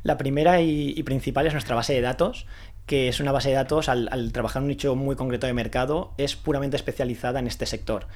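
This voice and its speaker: Fast-talking man, guest founder. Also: mid-range voice, steady tone, darkish tone. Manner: fast talker with many restarts and fillers. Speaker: founder of a renewable energy recruitment consultancy. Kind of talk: casual interview answers.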